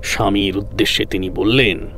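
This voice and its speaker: A man narrating a horror audio story in the first person with measured, suspenseful, dramatic delivery. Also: fairly low pitch, steady tone, fairly bright tone. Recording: music under the voice. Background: background music.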